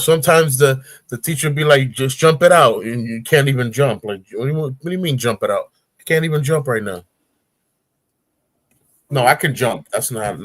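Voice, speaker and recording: A man's voice: medium pitch, no echo. A man in his forties, a guest on a casual talk show, telling stories with energy and acting out grunts and voices. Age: 40s.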